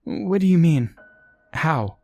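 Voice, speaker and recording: A man's voice: fairly high pitch, animated, slow pace, faint music behind, pitched above the performer's own voice. A man doing a possessed, accusing daughter. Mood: confused. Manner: quiet muttering, near whisper.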